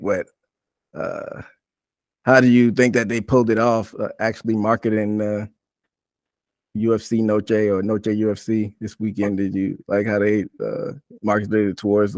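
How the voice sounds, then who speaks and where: A man's voice: fairly low pitch, dry recording. A man hosting a sports podcast, talking casually through a recap and putting questions out for discussion. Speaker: podcast host.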